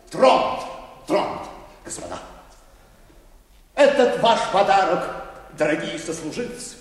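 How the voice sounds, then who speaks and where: A man's voice: high voice, heavily acted. An actor playing a pompous, effusive, not yet old bank chairman, speaking in grandiose, ceremonious radio-play style. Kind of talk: theatrical radio play acting. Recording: very echoey hall, distant from microphone.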